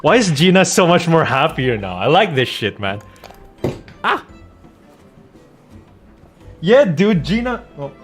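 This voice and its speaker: A man's voice: a little high, big pitch swings, slow pace, long pauses, soft background music. A man giving casual gameplay commentary as a streamer, slangy and profane in a bantering way. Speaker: game streamer and voice actor.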